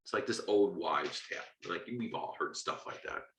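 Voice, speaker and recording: An American man lectures on literature in a lowish, crisp-edged, bright voice. He talks conversationally, leaning on key points and tossing in rhetorical questions. The room around him rings with noticeable echo. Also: moderate pace. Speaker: literature lecturer and video host. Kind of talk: informal explanatory lecture.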